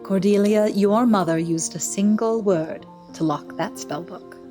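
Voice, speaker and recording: A woman's voice: medium pitch, unhurried, warm tone, soft background music, natural voice. An actress playing a fond, wise elder woman in a fantasy audio drama. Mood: gentle.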